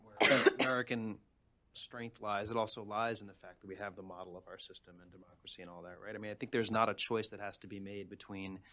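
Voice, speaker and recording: A man with a lowish voice talks at a quick clip. He sounds like a panelist in an informal policy debate, making careful, qualified points. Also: steady tone, sharp tone. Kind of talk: conversational policy discussion.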